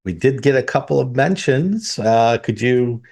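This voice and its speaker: A man's voice: medium pitch, expressive, measured pace, darkish tone. A man co-hosting a podcast, steering topics and putting questions to his partner. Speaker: podcast co-host. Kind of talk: casual podcast discussion.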